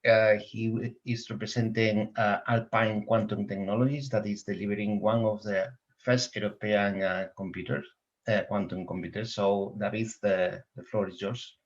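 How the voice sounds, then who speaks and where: A man's voice: lowish voice, fairly even intonation, moderate pace. A man moderating an online conference session, briefly and courteously introducing the next speaker. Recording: dull lo-fi audio.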